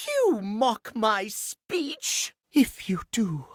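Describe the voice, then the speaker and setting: A man's voice: high-pitched, huge pitch swings, drawn-out words, no room sound, studio quality, heavily acted. A man voicing a fussy, pompous male clock obsessed with punctuality in a video game, with prim, haughty, precise elocution. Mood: prim, officious. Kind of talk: theatrical video game character acting.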